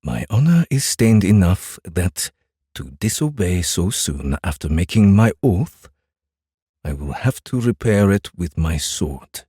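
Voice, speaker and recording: An actor playing a grave, formal, centuries-old Japanese samurai warrior in a dramatized audiobook. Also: male voice, sits low, very animated, dark tone, slightly rough, no room sound, studio quality, full character voice. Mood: grave, resigned. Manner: solemn, formal, deliberate. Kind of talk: dramatized audiobook character acting.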